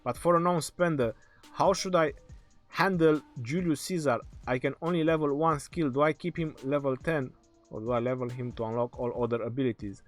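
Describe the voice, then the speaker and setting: A man hosting a live game stream, reading viewers' questions aloud and answering them casually, his voice going hoarse. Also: mid-range voice, steady tone, a little fast. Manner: reads viewer questions aloud then answers, laughs often. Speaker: game livestream host. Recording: faint background music.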